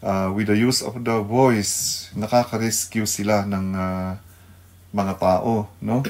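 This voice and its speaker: Slow, low-voiced Filipino man, halting delivery. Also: fairly even intonation, darkish tone. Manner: halting, searching for words, checking with "no?". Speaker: radio devotional host. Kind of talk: unscripted devotional talk.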